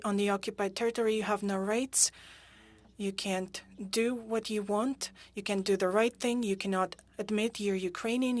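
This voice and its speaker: A woman interpreting witness testimony at a hearing into English, halting and pausing often with fillers. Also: medium pitch. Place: interpreter booth at a parliamentary hearing.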